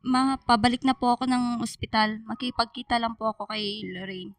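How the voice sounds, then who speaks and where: A woman acts out a loving, remorseful young daughter with a Filipino accent. Her voice is high and pleading, recorded very dry with music underneath. It suits a sentimental radio drama. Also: steady tone, a little fast, no echo, close to an everyday voice. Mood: worried, subdued.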